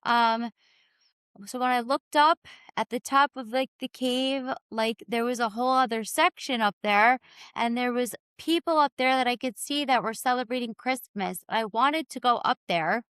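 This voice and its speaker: A woman with a raspy voice, telling her own story candidly and informally as a podcast guest. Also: a little high, moderate pace, fairly bright tone, no room sound. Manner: informal, peppered with "like" and "you know".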